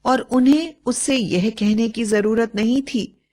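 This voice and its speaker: A woman reading a scripted bedtime moral story in a measured, expressive storytelling way. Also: a little high.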